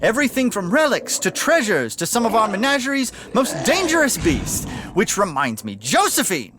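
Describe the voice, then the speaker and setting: Fussy elf curator: high, swooping voice, servile and wordy, fantasy audio comedy. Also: male voice, huge pitch swings, normal speed, noisy background. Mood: officious. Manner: fawning to superiors, prissy and wordy. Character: fussy, obsequious male elf museum keeper. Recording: busy sound effects behind voice.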